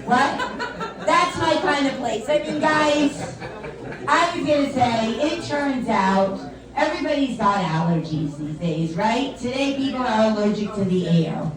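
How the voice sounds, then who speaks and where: Lady comic with a grandma-ish voice, kinda high, chatty crowd work, echoey club, crowd buzzing. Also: lively intonation, unhurried, distant mic. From US tri-state area. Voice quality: voice that sounds older than she is. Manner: chatty, teasing, self-mocking, keeps addressing the crowd as "guys". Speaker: stand-up comedian. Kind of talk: stand-up comedy with crowd work. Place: comedy club or venue. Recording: echoey club, mic from afar, audience noise. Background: audience laughing and chatting.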